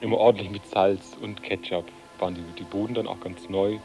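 A man from southern Germany chats casually about his memories in a low voice. Music plays clearly behind him. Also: steady tone, brisk, mellow. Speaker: interviewee. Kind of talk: casual chat, reminiscing.

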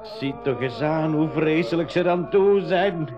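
Man playing a high-voiced, plaintive, wily professor. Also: a little slow, music in the background, lightly acted. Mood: doom-laden. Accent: Flemish. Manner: dramatic, plaintive, emphatic. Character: wily professor, theatrically doom-laden and lamenting. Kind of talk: 1980s school radio play acting. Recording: dull, band-limited 1980s radio recording.

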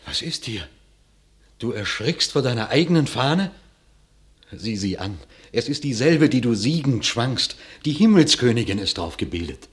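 A man's voice: medium pitch, sing-song, moderate pace, natural voice. An actor playing a loyal, urging nobleman in classical verse radio drama, with formal, emphatic diction. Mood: puzzled, insistent.

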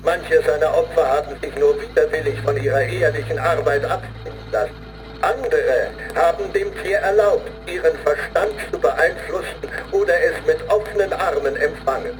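A man's voice: on the high side, normal speed, lightly acted, poor audio. Actor as zealous, solemn man reciting doctrine. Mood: solemn, ominous. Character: man reciting a zealous, grim training manual on a demonic fox. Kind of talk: solemn recitation in a radio play. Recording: studio radio play with music underneath. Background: music.

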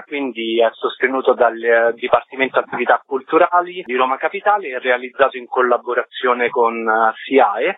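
Mid-pitched man on a thin phone line. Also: dry recording. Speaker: festival organiser being interviewed. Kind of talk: radio interview by phone. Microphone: phone call. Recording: thin narrow telephone line.

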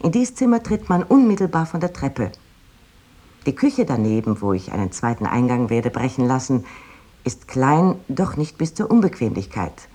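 An actress playing a proud, delighted 19th-century woman writer, reading out her letter in precise, expressive, old-fashioned literary diction. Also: extremely low pitch, moderate pace, exaggerated voice. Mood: delighted, detailed.

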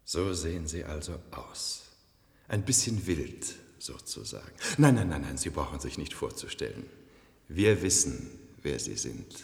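An actor playing a suave, condescending ruler of a future society in a German radio play, smug and theatrically drawn out. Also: male voice, rumbling low, vocal fry, exaggerated voice. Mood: amused welcome. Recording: long hall reverb.

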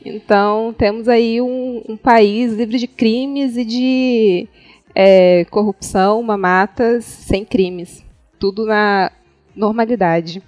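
A Brazilian woman co-hosting a podcast in casual chat, with an ironic, deadpan manner. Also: a little high, slow, dry recording, faint music behind. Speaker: podcast co-host.

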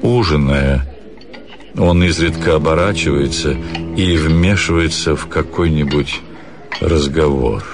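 A man reading a literary story theatrically, with expressive, measured phrasing and dramatic pauses. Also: very deep voice. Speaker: actor reading a short story. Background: music.

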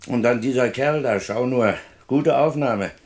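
Actor as a weary policeman husband, medium-pitched. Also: unhurried, lightly acted. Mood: tired. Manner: homely, colloquial, grumbling. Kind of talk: German radio play acting.

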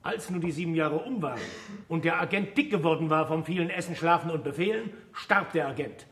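An actor playing a famous physicist in a German radio play, wry, stubborn and didactic, with a declamatory manner. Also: male voice, fairly high pitch, fairly bright tone, natural voice. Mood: measured storytelling. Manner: deliberate, declamatory storytelling and teaching.

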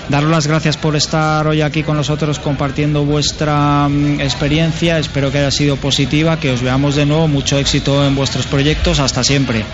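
A man hosting a live radio interview in a polite, formal manner. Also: mid-range voice. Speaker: radio host. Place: busy live event broadcast. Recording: dull sound, loud crowd noise.

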